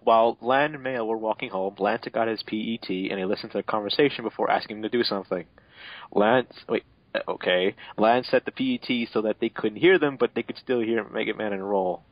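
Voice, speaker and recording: A man's voice: lowish voice, fairly quick, dry recording, lo-fi sound. A man doing a mocking dramatic reading as narrator, sarcastic, often stumbling and breaking off to comment. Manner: swears. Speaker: narrator and co-reader.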